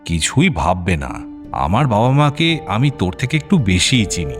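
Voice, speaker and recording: Audio drama role: reassuring, plain-spoken young fiancé, very varied intonation, firm, over a music bed. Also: male voice, on the low side, big pitch swings, moderate pace. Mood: firm, protective. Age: young adult. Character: supportive, reassuring young man, the woman's fiancé. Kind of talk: audio drama acting in intimate dialogue. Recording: prominent music bed under the voice.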